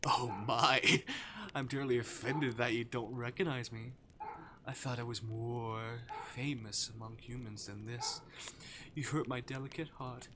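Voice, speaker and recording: A man's voice: medium pitch, steady tone, measured pace, lightly acted. A man voicing a playful, theatrical arctic demon from a visual novel, with mock-courteous, teasing menace. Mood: mock offended. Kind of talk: visual novel character voice acting. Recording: clear background noise.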